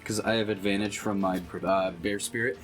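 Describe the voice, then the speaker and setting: A man's voice: fairly low pitch, steady tone, moderate pace, soft background music. A man chatting casually as a player in a tabletop roleplaying game, informal and thinking aloud as he goes.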